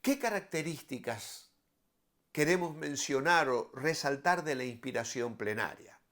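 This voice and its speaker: A man, a pastor, giving devotional Bible teaching and scripture readings in a measured, didactic way with emphatic phrasing. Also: medium pitch, animated, fairly bright tone.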